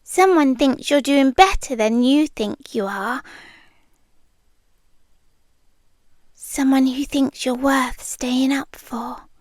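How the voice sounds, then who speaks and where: High, slow, whispery British monster girl, acted by a woman. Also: no room sound, clean studio sound. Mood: tender, caring. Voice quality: soft, breathy, often dropping into whispers. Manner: slow, hesitant, tender delivery. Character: shy, smitten female monster living under the bed. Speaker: ASMR roleplay performer. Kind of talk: ASMR roleplay, intimate monologue. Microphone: close microphone, dry.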